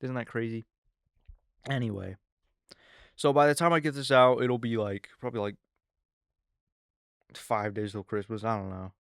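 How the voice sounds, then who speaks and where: An eighteen-year-old man hosting his own podcast, rambling casually with lots of fillers and excited asides. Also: medium pitch, normal speed, pauses often, clean tone, dry recording, clean studio sound. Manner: rambling, filler-heavy, excitable teen talk. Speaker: podcast host. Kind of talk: casual solo podcast chat.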